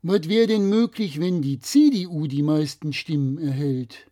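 A man's voice: a little high, animated, unhurried, mellow. A man presenting a scripted political explainer in a didactic, critical way.

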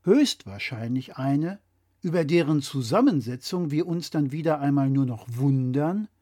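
Guy with a kinda high voice reading out a scripted explainer, didactic and critical. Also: lively intonation, unhurried, dark tone. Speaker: presenter.

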